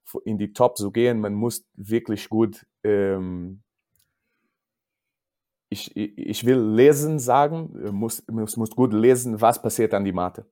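A man's voice: on the low side, dark tone. A man with a Portuguese accent speaking as a non-native, a judo coach answering casually in an interview and searching for words. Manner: halting, searching for words in non-native German, repeats himself.

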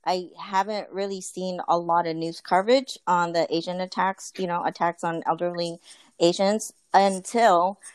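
A woman's voice: fairly low pitch, steady tone, normal speed, dry recording. A woman in a casual group conversation, talking earnestly with many fillers and restarts. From Filipino family.

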